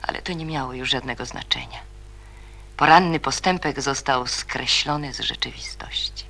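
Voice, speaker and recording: A serious radio play narrator reading literary prose. The voice is gravelly with fry yet bright and forceful, at a middling pitch. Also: normal speed. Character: serious storyteller narrating a youth novel.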